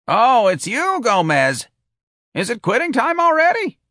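A very old, cranky woman clerk in an audiobook, played by a male narrator. The voice is pitched extremely high and sounds drowsy, muddled and querulous, in a dry recording. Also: huge pitch swings, measured pace, sharp tone, no echo, heavily acted.

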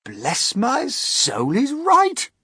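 A man's voice: extremely high pitch, wildly swooping pitch, very slow, pushed and bright, slightly rough, no echo, studio quality, voice pushed up, heavily acted. A man voicing an old green grasshopper who wails and exclaims. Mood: amazed. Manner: exclaiming, wailing.

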